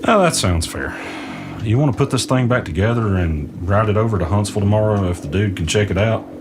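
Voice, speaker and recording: A man's voice: on the low side, animated, fairly quick, noisy background, close to an everyday voice. A man playing an easygoing, understated recording studio guy in an audio drama. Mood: casual, curious. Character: laid-back young man running a recording studio, puzzled investigator. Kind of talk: naturalistic audio drama acting with first-person narration.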